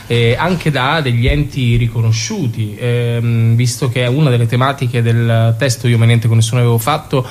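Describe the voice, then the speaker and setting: A man gives an explanatory answer in a radio interview. He is mid-pitched and halting as he searches for words, with faint noise in the recording. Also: fairly even intonation, a little mellow. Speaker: theatre company member, interview guest.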